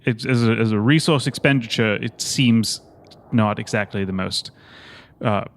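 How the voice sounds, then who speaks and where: A man's voice: fairly low pitch, normal speed, natural voice. A man playing an awkward, tense, overly polite analytical character in a tabletop game, hesitant and formally worded. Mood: stiff, puzzled. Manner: hesitant, stiff, formal wording.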